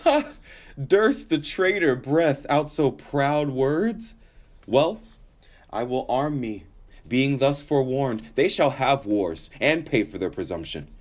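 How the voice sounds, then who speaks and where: A man's voice: mid-range voice, very animated, slow pace. A man acting a headstrong, haughty king with imperious, theatrical verse delivery in a Shakespearean audio drama. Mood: indignant.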